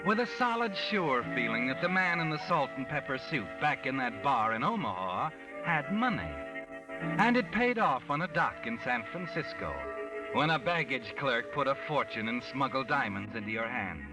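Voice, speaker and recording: A man's voice: mid-range voice, very animated, moderate pace, natural voice. A man playing a sinister, mocking narrator of a 1940s American radio mystery, telling the tale with knowing menace. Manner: knowing, mocking second-person storytelling. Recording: vintage 1940s radio, distant, music behind.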